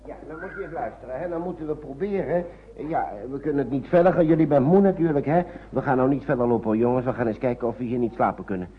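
A man's voice: mid-range voice, fast talker, natural voice. An actor playing a patient, fatherly man in a radio play, coaxing children hesitantly and repeating his phrases. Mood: reassuring. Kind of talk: naturalistic Dutch radio play acting. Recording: noisy, phone-like old radio recording.

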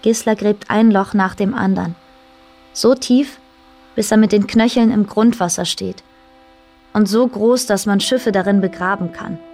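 A woman's voice: medium pitch, unhurried, a little mellow, lightly acted. A woman reading scripted literary narration as the storyteller of a radio play. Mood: measured storytelling. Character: female storyteller narrating island histories.

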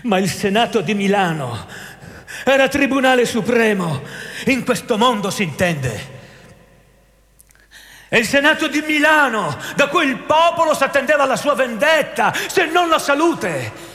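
An actor playing a grave, indignant narrator who comments on an unjust trial in dramatic, emphatic radio theatre narration. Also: male voice, very high voice, very animated, a little slow, pushed and bright, exaggerated voice. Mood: solemn irony. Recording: long reverberant hall, guitar music underneath.

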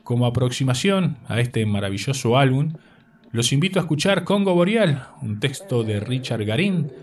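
A man from Uruguay, reading a prepared radio text as the presenter in a measured way. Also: mid-range voice, big pitch swings, far from the mic. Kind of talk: scripted radio narration.

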